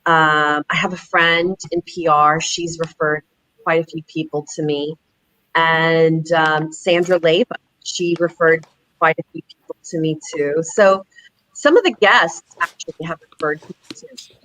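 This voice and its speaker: A woman's voice: low-pitched, steady tone, a little slow, a bit bright, low-quality recording. A middle-aged woman from Houston, Texas, chatting casually as a talk show guest, often laughing as she talks. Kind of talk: casual chat.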